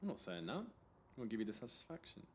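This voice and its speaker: Radio play: dry, wistful young man, acted by a man, lowish and colloquial, with faint noise behind him. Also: very animated, fast, darkish tone. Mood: teasing. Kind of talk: naturalistic radio play dialogue.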